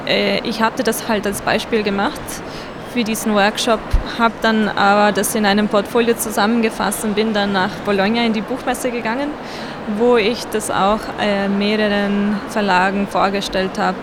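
A young woman from El Salvador speaking, answering interview questions about her illustrating in a soft, hesitant way. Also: mid-range voice, little pitch movement, normal speed. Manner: soft-spoken, hesitant with fillers. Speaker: book illustrator guest. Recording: street noise behind.

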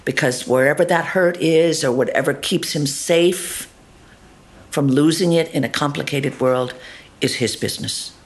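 A woman actor chats through an interview in a lively way. She swings from loud, emphatic asides to hushed, half-voiced murmurs. She keeps tossing in tag questions like "doesn't it?". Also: sits low, normal speed. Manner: animated, shifting between loud asides and soft murmurs. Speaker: actor interviewed about her role. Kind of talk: conversational interview.

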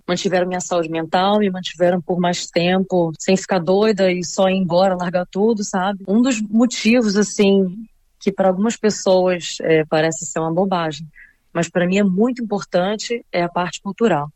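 A woman's voice: on the low side, fairly even intonation, normal speed, a little mellow, no echo. A Brazilian woman being interviewed, talking reflectively and with feeling, full of little fillers. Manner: reflective, emotional, many fillers like "assim" and "sabe". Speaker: interviewee, returning immigrant. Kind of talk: casual conversational interview.

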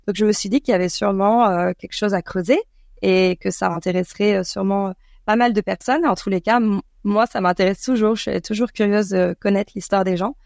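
A woman from France with a French accent, answering interview questions conversationally and thoughtfully, often pausing mid-sentence. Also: medium pitch, fairly even intonation, gravelly, darkish tone, no echo, studio quality. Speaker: podcast creator being interviewed.

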